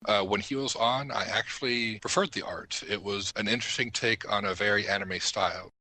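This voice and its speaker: A man's voice: lowish voice, brisk, pushed and bright, slight background noise, poor audio. A man on a podcast panel talking casually and giving candid opinions.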